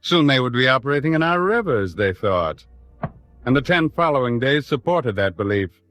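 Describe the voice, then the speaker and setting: A man playing a grave, brooding storyteller in a 1940s radio drama, speaking deliberately with ominous pauses. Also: medium pitch, very animated, fairly bright tone, no echo, faint music behind. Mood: brooding, tense. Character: grave, brooding male storyteller of a wartime chronicle. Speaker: narrator.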